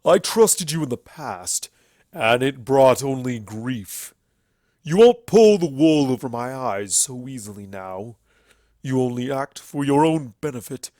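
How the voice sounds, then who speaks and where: A man voicing a bitter male winged pony villain in a dramatic story reading, with drawn-out, venomous sarcasm. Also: medium pitch, expressive, warm tone, dry recording, clean studio sound, close to an everyday voice. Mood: accusing.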